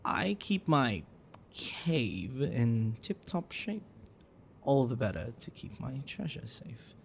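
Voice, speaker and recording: A man voicing an affectionate, protective, bashful dragon boyfriend in a soft, breathy, hesitant ASMR roleplay. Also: mid-range voice, big pitch swings, slow, a little hiss or hum, heavily acted. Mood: tender, caring.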